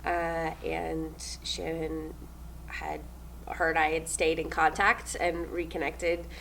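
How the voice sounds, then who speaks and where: A young woman from New Zealand answers interview questions with a loud, upbeat delivery and frequent laughs. Her voice sits a little low and has a gravelly fry to it. Faint noise hums under the recording. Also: little pitch movement, slow pace, rough recording. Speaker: stage manager.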